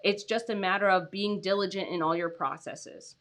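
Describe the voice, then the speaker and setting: A young adult woman hosts a casual livestream, talking in a loose, chatty way full of "like" and "um". Her voice is raspy from being tired, yet it stays bright and forward, sitting at an ordinary pitch for a woman. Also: steady tone, moderate pace. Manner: chatty, lots of "like" and "um", laughs often. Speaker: host.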